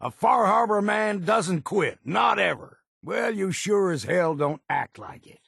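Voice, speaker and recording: An actor playing a gruff, proud, older male island hunter in a video game, with a gravelly, husky voice and folksy, rustic speech. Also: high voice, animated, measured pace, a bit bright, no room sound, studio quality, full character voice. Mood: stubborn, indignant. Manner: folksy rustic speech with dropped grammar and ain't. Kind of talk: video game dialogue acting.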